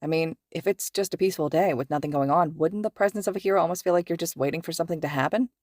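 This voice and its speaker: A woman's voice: on the low side, very fast, a little mellow, no room sound, natural voice. An earnest, unsure teenage boy, voiced by a woman reading a fan story, with a questioning, slightly sulky tone. The recording is close and dry with no room sound.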